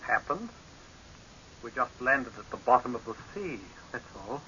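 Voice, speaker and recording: Earnest, forceful young scientist, vintage radio. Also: male voice, medium pitch, very animated, unhurried. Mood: cold, defiant. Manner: formal, deferential, restrained. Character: earnest young male scientist, formal, guarded subordinate. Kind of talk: 1930s radio horror drama acting. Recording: hissy, muffled old radio recording.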